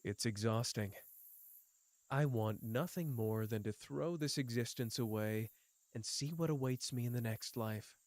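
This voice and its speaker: A man's voice: mid-range voice, measured pace, no room sound, lightly acted. A man voicing a teasing, world-weary young man. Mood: bleak. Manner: soft, teasing, drifting between playful and bleak. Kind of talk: ASMR roleplay.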